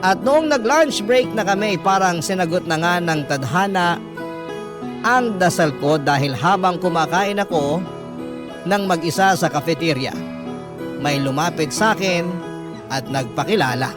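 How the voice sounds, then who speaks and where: A male storyteller with a Filipino accent and a fairly high voice reads a heartfelt letter for a radio drama. His delivery is scripted and emotive, with clear guitar music playing underneath. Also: a little slow. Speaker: radio drama narrator reading a listener's letter. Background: guitar and instrumental music.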